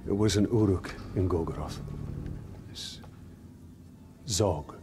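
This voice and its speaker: A man's voice: sits low, takes it slowly, music in the background. A man playing an earnest, battle-worn human ranger in a video game, speaking tersely. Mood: grave. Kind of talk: video game cinematic acting.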